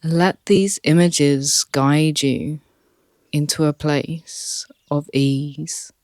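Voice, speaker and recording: A meditation guide speaking calmly and softly, leading a relaxation session with long pauses. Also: medium pitch, no room sound, clean studio sound. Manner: calm, soft, with long pauses.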